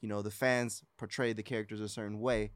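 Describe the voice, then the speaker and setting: Low-voiced man, halting casual talk. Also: flat delivery, clear, resonant tone, no room sound. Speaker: podcast co-host. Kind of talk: casual chat.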